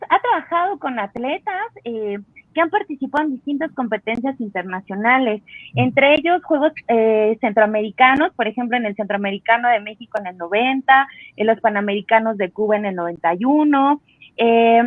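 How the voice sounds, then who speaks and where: Woman host, slightly high voice, thin phone-like audio, halting intro read. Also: dry recording. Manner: reads a guest résumé with many fillers. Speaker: podcast host. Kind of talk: interview introduction. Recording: tinny phone-like line.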